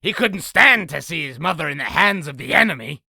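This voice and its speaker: Bragging demon storyteller, piercingly sharp rasp, heavy fry, theatrical comic-dub delivery. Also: male voice, fairly high pitch, expressive, brisk, dry recording, clean studio sound, full character voice. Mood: boastful, grandiose. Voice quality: raspy, gravelly. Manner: theatrical, self-aggrandizing. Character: boastful male demon telling his own exaggerated battle story. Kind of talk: comic dub character narration.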